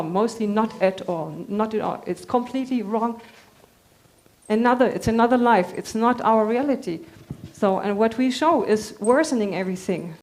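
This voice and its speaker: A woman speaking as a panelist, halting and earnest, with frequent fillers and restarts. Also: a little high, darkish tone. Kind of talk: panel discussion.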